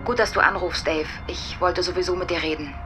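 An actress playing a composed but reproachful married young woman in a radio play, measured and questioning. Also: lowish voice, fairly even intonation, over loud music, lightly acted.

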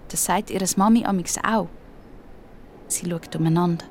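A woman's voice: lowish voice, normal speed, a little mellow, a little hiss or hum. A woman with a Swiss accent narrating a scripted audio story for children.

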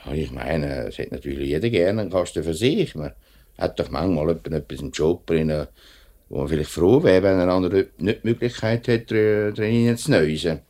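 Deep-voiced, straightforward Swiss German worker. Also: male voice, normal speed, warm tone. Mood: polite, firm. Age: late 30s. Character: straightforward, dutiful factory worker and union man, nearly forty. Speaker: actor in a radio play. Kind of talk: 1950s Swiss radio drama acting.

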